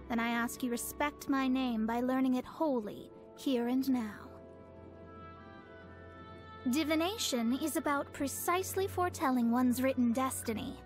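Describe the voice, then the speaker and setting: Haughty young astrologer heroine for a fantasy game: high voice, formal and pompous, easily flustered, faint music underneath. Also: female voice. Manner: formal, pompous, precise wording. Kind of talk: theatrical video game character voice lines.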